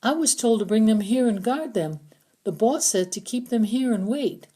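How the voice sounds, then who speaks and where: A woman's voice: a little high, expressive, normal speed, mellow, pitched above the performer's own voice, natural voice. A woman voicing a frantic captive man in an audiobook, insistent and pleading as he accuses and defends himself. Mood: panicked pleading.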